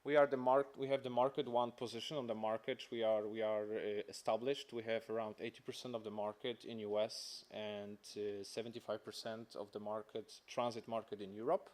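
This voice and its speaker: A man's voice: lowish voice, little pitch movement, brisk, few pauses, a little mellow. Polish-accented man giving a corporate presentation. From Poland. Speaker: company representative presenting.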